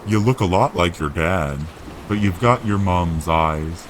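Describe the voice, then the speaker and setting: A man voicing a huge, gruff but friendly giant with rustic, blunt speech in an audio drama. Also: sits low, a little slow, background noise. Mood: fond. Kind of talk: audio drama character acting.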